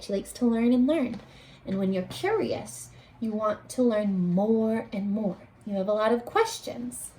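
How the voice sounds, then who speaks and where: Woman teacher, high voice, slow pace, expressive read-aloud, questions for young listeners. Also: rough recording. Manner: animated, addressing children with questions and pauses. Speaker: teacher reading a picture book aloud.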